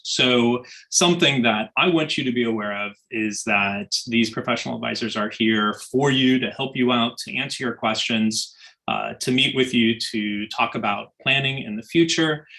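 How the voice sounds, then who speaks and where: A man speaking as an assistant dean, giving a relaxed welcome talk to new students with many small fillers over a video call. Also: mid-range voice, gravelly, low-quality recording.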